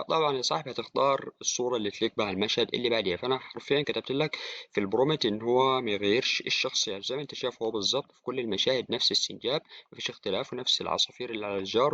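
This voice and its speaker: Very fast-talking Egyptian man, casual tutorial. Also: medium pitch, bright, forceful tone, dry recording. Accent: Egyptian Arabic. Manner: informal, addresses viewer as a friend. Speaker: tutorial presenter. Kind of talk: casual screen-recorded software tutorial. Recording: dry, slightly dull, with clicking.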